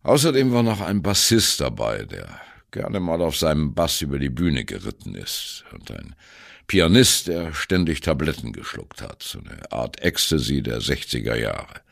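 A man's voice: deep voice, gravelly, husky, heavily acted. An actor playing a wry, disillusioned ageing jazz musician who recalls his band years in a musing, conversational radio-play narration. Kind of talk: first-person reminiscing narration in a radio play.

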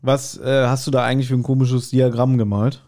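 Male podcast co-host, German accent, middle pitch, casual banter with a teasing, mock-scolding edge. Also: fairly even intonation, a little fast, dark tone.

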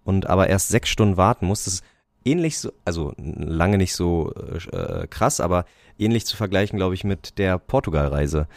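A man's voice: low-pitched, lively intonation, normal speed, dry recording, studio quality. A man co-hosting a casual football podcast, rambling with lots of fillers and restarts and laughing often. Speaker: podcast co-host. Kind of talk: casual chat about football.

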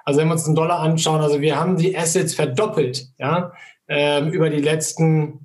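Middle-aged man, fairly high voice, measured, lots of hesitation fillers. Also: steady tone. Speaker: asset manager guest. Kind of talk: interview answers.

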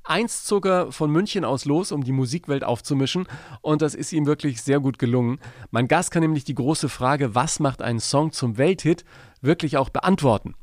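A man's voice: on the high side, normal speed, no room sound, studio quality. A man hosting a podcast in Germany, introducing his guest and chatting casually, often tagging sentences with a questioning "ja?". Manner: chatty, enthusiastic, tags questions with "ja?". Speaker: podcast host. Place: home studio in Germany.

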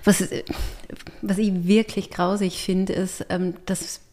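An Austrian woman talking casually and reflectively as a podcast guest, pausing to search for words. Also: mid-range voice, little pitch movement, darkish tone. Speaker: podcast guest, writer and artist.

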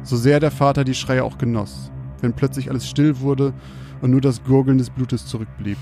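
A man with a slightly creaky voice, hosting and telling stories on a podcast. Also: mid-range voice, steady tone, moderate pace, over music. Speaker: podcast host and storyteller. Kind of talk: casual banter and scripted horror storytelling.

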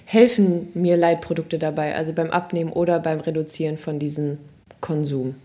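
A woman's voice: low-pitched, fairly even intonation, normal speed, dark tone. A woman co-hosting a podcast, asking curious questions in a chatty, self-deprecating way. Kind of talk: casual podcast chat.

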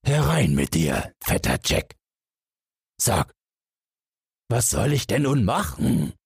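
A man voicing a big, gullible, cowardly three-headed giant in a storybook, slow, ponderous and plaintive. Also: fairly low pitch, very animated, vocal fry, slightly rough, no room sound, studio quality, voice pushed up, full character voice. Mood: frightened. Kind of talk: storybook character voice.

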